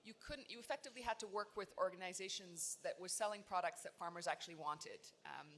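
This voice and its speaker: A woman's voice: lowish voice, quick pace, a little hiss or hum. Woman founder speaking on a conference panel. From Tanzania. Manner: relaxed, self-deprecating storytelling with frequent fillers. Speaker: startup founder, panel guest. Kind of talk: conversational panel answer. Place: large loud conference hall.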